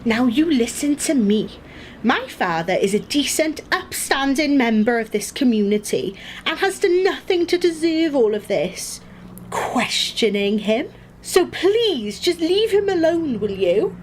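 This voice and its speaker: Casting for audio drama: woman as a fiery, protective daughter, high voice that leaps about, combative and scolding. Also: very animated, a little slow, a little hiss or hum. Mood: angry, indignant. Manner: confrontational, scolding. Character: feisty, indignant grown daughter defending her father. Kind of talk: radio play acting.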